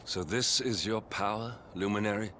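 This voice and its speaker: Deep-voiced, grave knight. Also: male voice, sharp tone, a little hiss or hum. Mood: solemn, impressed. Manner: formal, earnest, measured speech. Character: grave, loyal knight, repentant and resolute. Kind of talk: dramatic video game voice acting. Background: orchestral game music.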